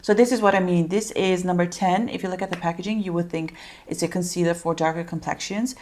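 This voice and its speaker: A woman's voice: on the low side, steady tone, fast, darkish tone, slight background noise. A young woman with a Dutch accent, chatting casually and rambling through a makeup tutorial with lots of fillers. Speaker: beauty vlogger.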